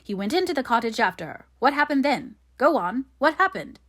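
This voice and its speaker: A woman voicing a bullying, sneering man in an audiobook, rough and insistent, quick to anger. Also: medium pitch, animated. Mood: angry, bullying.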